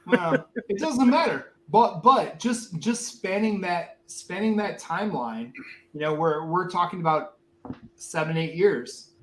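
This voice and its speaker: Joking, loud streamer man in echoey room. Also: sits high, animated, unhurried. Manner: loud, joking, swears freely, repeats words. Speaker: host of a bourbon livestream. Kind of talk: casual group chat on a livestream. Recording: echoey room with faint background noise.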